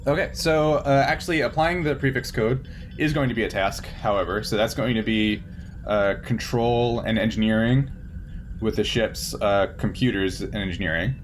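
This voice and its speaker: A man narrating a tabletop game casually as game master, with halting, hesitant phrasing. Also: fairly low pitch. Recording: noticeable background noise.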